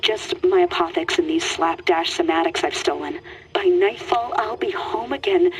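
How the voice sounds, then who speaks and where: A woman voicing an anguished, determined female scientist and healer recording a secret log in a video game, breathy and intense. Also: fairly high pitch, poor audio. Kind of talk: dramatic video game monologue.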